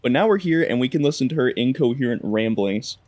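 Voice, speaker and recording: Young male gaming creator, mid-pitched, wry and slangy storytelling, slight game noise behind. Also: animated, brisk, darkish tone. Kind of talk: casual comedic gameplay narration.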